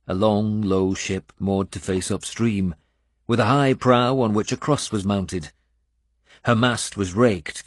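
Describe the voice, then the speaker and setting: A man reading a scripted first-person audiobook in a measured storytelling manner. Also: low voice, no room sound, studio quality. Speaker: audiobook narrator.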